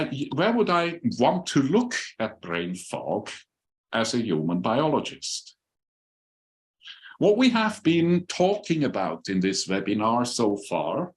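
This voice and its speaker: An older man with a Swiss accent speaks slowly and deliberately over a video call. His pitch rises and falls a great deal as he presents, and fillers and pauses come between his phrases. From Switzerland. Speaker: webinar speaker.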